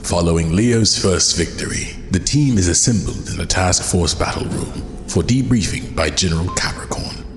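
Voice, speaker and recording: A man's voice: low voice, moderate pace, few pauses, close to an everyday voice. A man playing a solemn, wise mentor who narrates an adventure serial in a portentous, dramatic manner. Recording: echoey voice under loud music.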